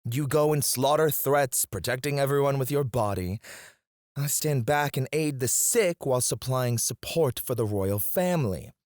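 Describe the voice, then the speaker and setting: A man's voice: mid-range voice, lively intonation, moderate pace, darkish tone, no echo, clean studio sound, close to an everyday voice. A man playing a cocky, disdainful royal alchemist in a scripted fantasy audio roleplay, speaking in a formal, haughty, theatrical way. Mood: exasperated. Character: cocky, disdainful male royal alchemist, sharp-witted and proud. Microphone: close, dry studio mic.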